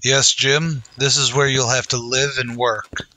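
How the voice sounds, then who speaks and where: A man's voice: medium pitch, little pitch movement, unhurried, sharp tone, no echo. A man playing an earnest, resolute lawman hero in an old-time western radio drama, speaking in solemn declarations.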